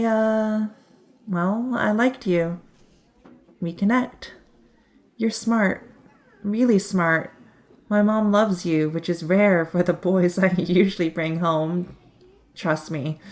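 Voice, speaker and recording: A woman's voice: medium pitch, a little mellow, lightly acted. Woman acting frank young female superhero. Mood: shy, affectionate. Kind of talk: audio drama acting.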